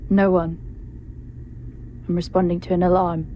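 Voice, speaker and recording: A woman's voice: fairly low pitch, flat delivery, unhurried, lo-fi sound, slight background noise, lightly acted. An actress playing a guarded, dry-witted woman responder in a video game, speaking naturalistically in a wry, muttering way. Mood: wary, guarded. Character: guarded, dry-witted female responder working alone at remote outpost.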